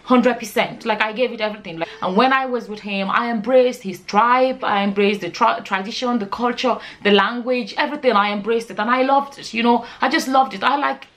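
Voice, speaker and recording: A woman's voice: a little high, fairly quick, hardly any pauses, fairly bright tone. A woman of forty from Nigeria chatting informally as a vlogger, often repeating words and phrases for emphasis. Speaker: vlogger, channel host. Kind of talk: casual chatty vlog answering viewer questions.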